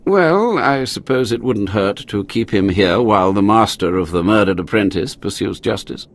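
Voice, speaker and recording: A man's voice: on the low side, expressive, normal speed. An actor playing a haughty, scheming Sith inquisitor in a video game, theatrical and condescending, drawing out his words. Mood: sly, conceding. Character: haughty, scheming male Sith inquisitor, self-preserving overseer of trials.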